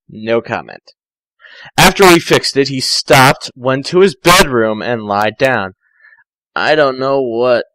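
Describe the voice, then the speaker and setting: A man's voice: medium pitch, a bit bright, no room sound, poor audio. A man reading amateur fan fiction aloud, breaking in with dry, sarcastic asides.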